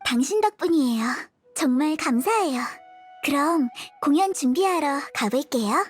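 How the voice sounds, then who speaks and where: An actress playing a sweet, polite, determined young dancer in a video game, gentle and courteous. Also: female voice, high-pitched, animated, moderate pace, soft background music. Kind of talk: video game dialogue acting.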